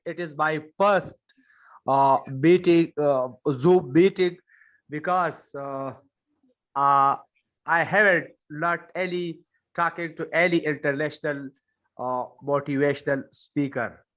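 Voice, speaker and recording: Man from Pakistan, halting, on a dull call. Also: a little high, steady tone, a bit bright. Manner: halting, simple non-native English. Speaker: new guest. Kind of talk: online meeting introduction. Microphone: video call.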